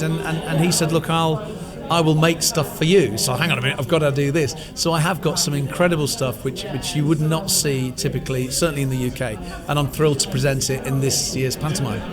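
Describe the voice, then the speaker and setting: A man who works as a magician and puppeteer, chatting in a wry, conversational way in an interview. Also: fairly high pitch. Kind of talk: casual interview chat. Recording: music playing clearly behind voice.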